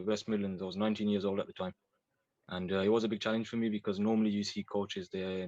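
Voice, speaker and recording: A young man, twenty-one, explains fitness in an informal online talk, pausing on fillers and correcting himself. His voice sits a bit low for a man. The call audio is dull and dry, with no room around it. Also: dry recording. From Belgium. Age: 20s. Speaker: Thai boxing coach.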